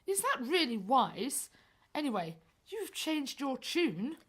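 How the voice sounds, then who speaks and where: A woman acting a prince's practical, sceptical male aide in a radio play, brisk and questioning. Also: high voice, big pitch swings, slightly rough, heavily acted. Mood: concerned, sceptical.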